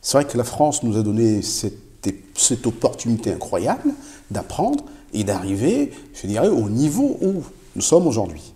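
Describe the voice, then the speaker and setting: A man's voice: medium pitch, wildly swooping pitch, normal speed, warm tone. A middle-aged man answering interview questions as a company boss, emphatically repeating key phrases. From Africa. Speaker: company CEO, interview guest. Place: indoor showroom with product shelves.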